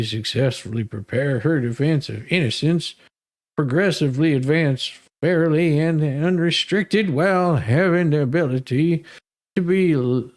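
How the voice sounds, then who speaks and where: A man's voice: fairly high pitch, darkish tone. A man hosting a livestream, reading a legal document aloud in a dramatic, sarcastic manner with mocking asides. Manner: sarcastic, stumbles over long legal words. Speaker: livestream host.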